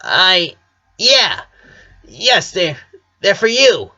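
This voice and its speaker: A man's voice: high-pitched, huge pitch swings, very slow, sharp tone, lo-fi sound, pitched above the performer's own voice, heavily acted. A man voicing a defensive, bashful sporty tomboy sister in a story reading. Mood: flustered, bashful. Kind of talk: storybook character voice.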